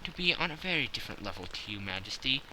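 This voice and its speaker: A man's voice: mid-range voice, sing-song, quick pace, pushed and bright, slight background noise, voice pushed down, low-quality recording. A man voicing a cold, reserved detective who speaks in a cool, clipped way.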